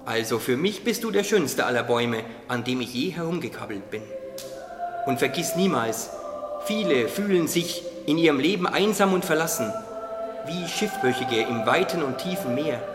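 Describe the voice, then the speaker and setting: A rather high voice rings in an echoey hall over music. It is a small, wise caterpillar voiced by a man that speaks with gentle encouragement in a radio play. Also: lively intonation, moderate pace, natural voice. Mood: tender. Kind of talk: German radio play acting.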